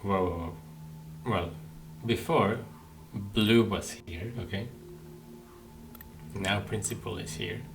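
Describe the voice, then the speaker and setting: Very low-voiced man commentating on a game. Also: takes it slowly, vocal fry, rough recording. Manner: thinks aloud, repeats phrases. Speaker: video game streamer. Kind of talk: casual gameplay commentary. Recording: game music behind the voice. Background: game music.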